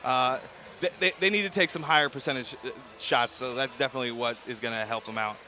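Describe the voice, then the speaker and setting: A man's voice: medium pitch, quick pace, pushed and bright. A man commentating a college pregame show in a gymnasium, hesitant, with lots of fillers and restarts. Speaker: sports commentator.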